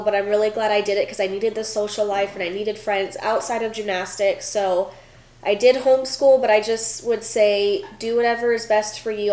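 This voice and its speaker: A woman's voice: medium pitch, darkish tone, echoey room. A woman in her twenties answering viewer questions in a casual vlog, chatty with lots of fillers, sounding stuffy from a cold. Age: 20s. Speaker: vlogger, former college gymnast.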